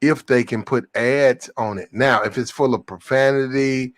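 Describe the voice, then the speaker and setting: A man's voice: mid-range voice, normal speed, dry recording. A man on an online panel, explaining things patiently with casual slang and reassurance. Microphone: headphones with mic.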